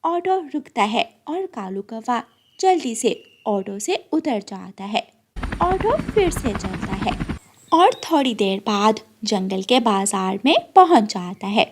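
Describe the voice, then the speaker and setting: High-pitched woman narrating a children's story. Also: very animated, slow pace, a little hiss or hum.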